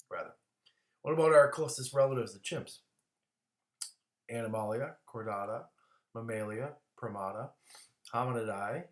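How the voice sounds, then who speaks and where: A man's voice: fairly low pitch, roomy sound. A man teaching a classroom lecture in a casual way, often restarting words and coughing now and then.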